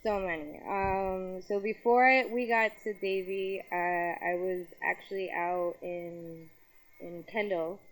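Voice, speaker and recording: A woman's voice: on the low side, steady tone, takes it slowly, poor audio. A young woman from Colombia raised in New York, talking candidly in a casual interview, often laughing and swearing freely. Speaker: interview guest, music figure.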